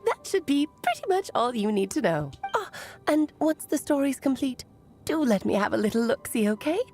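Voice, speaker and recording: A playful, mysterious guide with a bubbly, coy, singsong delivery. Her pitch swings in lively leaps. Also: moderate pace, faint music behind, exaggerated voice.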